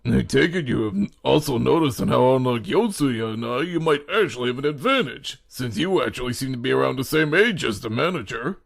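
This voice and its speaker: A man playing a genial, teasing middle-aged ex-teacher in an amateur audio drama, with a measured, formal way of talking. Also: mid-range voice, animated, vocal fry.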